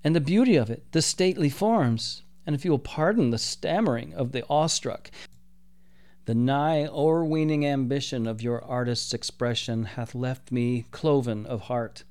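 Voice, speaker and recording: A man's voice: mid-range voice, normal speed, a little mellow, clear, resonant tone, lightly acted. A man voicing an awestruck male archangel who speaks with breathless wonder and old-fashioned phrasing.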